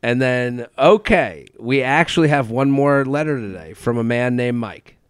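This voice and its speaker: Smooth-voiced man, dry studio sound. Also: medium pitch, moderate pace, no echo. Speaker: podcast co-host. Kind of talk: reading a script with casual asides.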